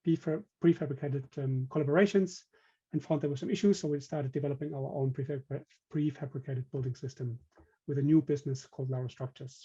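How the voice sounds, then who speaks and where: A man's voice: mid-range voice, mellow, breathy edge, lo-fi sound. A man with a German accent giving a technical webinar presentation, speaking hesitantly with many fillers and restarts. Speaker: company director presenting.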